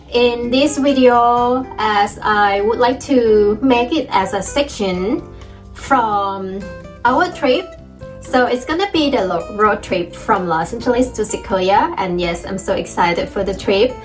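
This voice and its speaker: Chatty Thai-accented woman teaching. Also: medium pitch. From Thailand. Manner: cheerful, chatty, laughs often. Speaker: language teacher vlogger. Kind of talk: casual video lesson.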